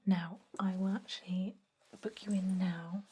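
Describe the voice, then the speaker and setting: Woman playing a calm vampire dentist, whispered ASMR, slow, mellow, soft-spoken and instructive. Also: mid-range voice, breathy edge. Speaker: ASMR roleplay artist.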